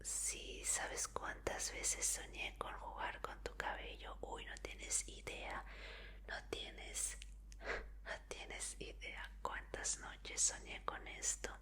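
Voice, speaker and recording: A woman's voice: takes it slowly, natural voice. A woman voicing an obsessive female fan who holds her idol captive, in a whispered roleplay, coaxing and apologetic. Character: obsessive female fan, sweetly creepy captor.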